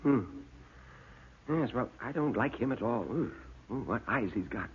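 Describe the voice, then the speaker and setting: A man playing an uneasy, politely hesitant journalist trying to sound calm, in classic radio horror style. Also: medium pitch, measured pace, a little hiss or hum, lightly acted. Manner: hesitant, stammering, politely understated. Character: uneasy male journalist bluffing calm in a waxworks. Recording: muffled narrow-band old radio recording.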